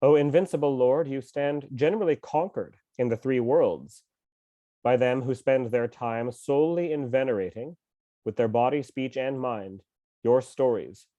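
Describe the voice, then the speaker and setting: A man who is mildly ill with a cough reads a scripture translation aloud at home, in a measured, slow recitation with pauses. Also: medium pitch, mellow, no room sound, highs cut off. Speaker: scripture reader and teacher.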